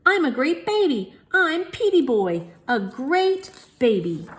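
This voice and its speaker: A woman's voice: high-pitched, very animated, takes it slowly, pitched above the performer's own voice, heavily acted. A woman voicing an eager, boastful, imaginative little boy in a storybook reading. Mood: proud, excited. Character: imaginative excited little boy telling his own birth story.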